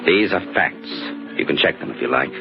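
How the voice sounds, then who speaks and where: An actor playing a tough, wry young American private detective in a radio drama, with hardboiled slangy delivery. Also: male voice, very deep voice, expressive, fairly quick, creaky, exaggerated voice. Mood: grim, wry. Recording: dull old radio recording with music.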